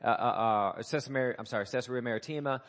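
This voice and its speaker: Male pastor with a cold-scratchy voice, mid-pitched, preaching in a church; informal, halting delivery full of ums. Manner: halting, many fillers and restarts. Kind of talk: sermon. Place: church sanctuary.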